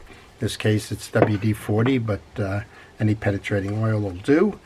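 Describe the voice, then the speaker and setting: An older man with a head cold, congested, hosting a relaxed, rambling how-to on servicing a fishing reel at his workbench. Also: lowish voice, moderate pace, heavy background noise. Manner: relaxed, rambling with frequent fillers. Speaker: fishing reel repair channel host. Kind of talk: casual how-to narration. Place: workshop bench.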